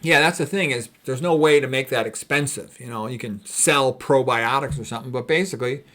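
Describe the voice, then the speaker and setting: A man's voice: medium pitch, a little fast, fairly bright tone. Man giving a blunt, informal medical lecture. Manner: blunt, conversational, explanatory. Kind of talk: informal lecture, reading out and commenting on quotes.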